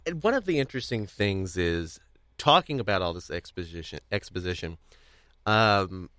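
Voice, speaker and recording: A man's voice: lowish voice, a bit bright, no room sound. A man hosting a podcast in casual conversation, speaking in a hesitant, rambling, stop-start way. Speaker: podcast host. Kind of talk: casual discussion of audio drama.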